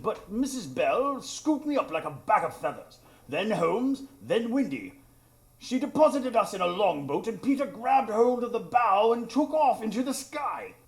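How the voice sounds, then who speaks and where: A man playing an earnest gentleman adventurer who narrates a live radio drama. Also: sits high.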